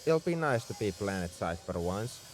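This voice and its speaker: Man acting an animated tsundere mech engineer. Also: fairly low pitch, normal speed, a little hiss or hum. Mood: shyly pleased. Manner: scolding, flustered, defensive about his feelings. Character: gruff-caring tsundere male mech engineer, young adult. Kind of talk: ASMR roleplay acting, addressed to the listener.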